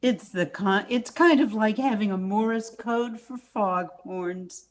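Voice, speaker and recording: A woman's voice: mid-range voice, slow pace, natural voice. A woman playing a cheerful housewife and mother giving an earnest, exaggerated testimonial in an old-time radio commercial. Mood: eager, cheerful.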